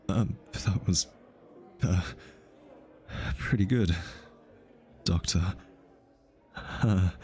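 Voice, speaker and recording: A man playing an easily flustered, lovestruck hitman in an intimate ASMR roleplay, halting and stammering as he nervously over-explains. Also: mid-range voice, a little mellow, heavily acted.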